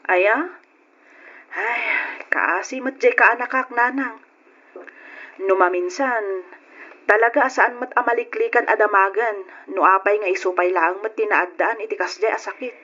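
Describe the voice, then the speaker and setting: A woman playing a concerned, sympathetic grown daughter in an old-time Ilocano radio drama, speaking gently. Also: medium pitch, unhurried, pauses often. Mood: sorrowful sympathy. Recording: muffled old radio recording.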